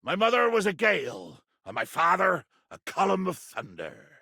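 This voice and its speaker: A man playing a pompous, belligerent sea captain in a video game, with a raspy voice and a theatrical, grandiloquent delivery. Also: high voice, huge pitch swings, moderate pace, pushed and bright, no room sound, studio quality, heavily acted. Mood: boastful. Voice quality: gravelly, raspy.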